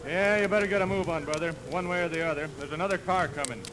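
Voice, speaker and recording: Noisy vintage radio recording of a man acting an officious American toll collector. His high voice is terse, impatient and matter-of-fact, in the manner of 1930s radio comedy. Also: fast, natural voice.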